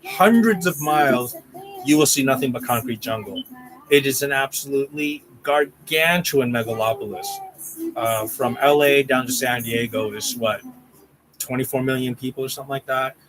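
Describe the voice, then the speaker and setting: A man's voice: medium pitch, moderate pace, background noise. Rambling man chatting on a livestream. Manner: rambling, restarts, reads out viewer names. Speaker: channel host. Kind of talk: casual livestream chat.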